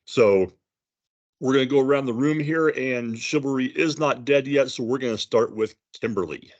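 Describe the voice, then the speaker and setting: A man hosting an online panel by video call, unhurried and loose with his fillers. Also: medium pitch, dull audio. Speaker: panel moderator.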